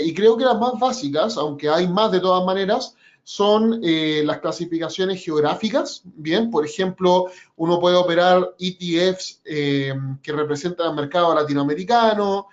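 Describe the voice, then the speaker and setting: A man with a fairly high voice teaches a trading webinar, explaining things patiently and stopping often on "eh" as he works through his points. The sound is muffled and lo-fi, like webinar audio. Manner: measured, explanatory, with frequent "eh" and "por ejemplo". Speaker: webinar presenter and trading educator. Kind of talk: educational webinar presentation.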